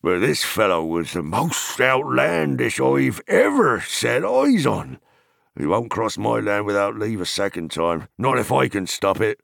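A gruff, hearty hobbit farmer from a fantasy audiobook, acted out by a male narrator. He talks bluntly and rustically with a gravelly edge and big swings in pitch, wary of strangers yet hospitable, recorded close and dry. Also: sing-song, measured pace, fairly bright tone, no echo, exaggerated voice. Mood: grumbling, confiding. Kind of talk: dramatized fantasy audiobook character.

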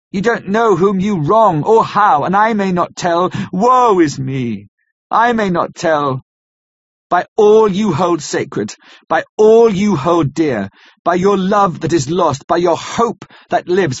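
A man's voice: high voice, slightly rough, dry recording, pitched above the performer's own voice, heavily acted. A man voicing a desperate male asylum inmate who begs passionately to be released. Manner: pleading, impassioned, imploring. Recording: dry muffled lo-fi.

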